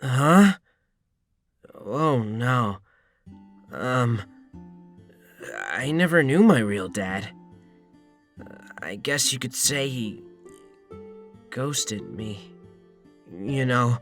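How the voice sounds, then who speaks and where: A woman voicing a timid, flustered, overworked male blacksmith in an animated comedy, hesitant and stammering. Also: extremely low pitch, drawn-out words, long pauses, soft background music, exaggerated voice. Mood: nervous, glum.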